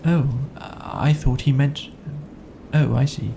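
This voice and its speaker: Man playing a gentle, slow-witted storybook bear. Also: medium pitch, vocal fry, a little hiss or hum. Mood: confused. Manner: mild, musing, hesitant.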